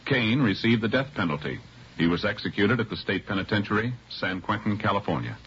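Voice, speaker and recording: Brief: male American announcer, low, official and measured, vintage radio documentary tone, muffled with music. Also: animated, a bit bright, slight background noise. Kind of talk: formal 1950s scripted radio narration.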